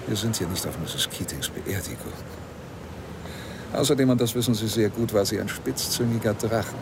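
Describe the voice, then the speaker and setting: Low, creaky-voiced insistent lawyer. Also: male voice, moderate pace, heavy background noise. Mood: pressing, sceptical. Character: probing, insistent male lawyer narrating his own story. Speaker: radio drama actor, narrator. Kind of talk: narration and dialogue in a German radio play.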